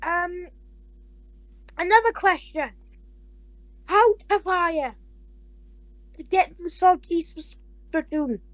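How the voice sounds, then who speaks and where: A woman's voice: extremely high pitch, slow, long pauses, no room sound, low-quality recording. A woman hosting a comedy podcast, blunt and crude, firing off abrupt questions and insults. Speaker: podcast host. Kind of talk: chaotic comedy chat.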